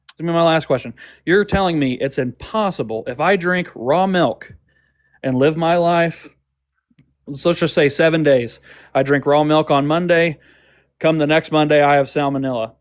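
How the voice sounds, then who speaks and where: Male guest debater, slightly high voice, defensive and dismissive, argues in rapid restarts. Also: no room sound. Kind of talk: heated live debate.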